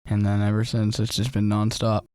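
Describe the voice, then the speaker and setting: Guy with a lowish flat voice, quick jokey asides, dry studio sound. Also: no room sound. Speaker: musician guest. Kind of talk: casual podcast chat. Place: podcast studio.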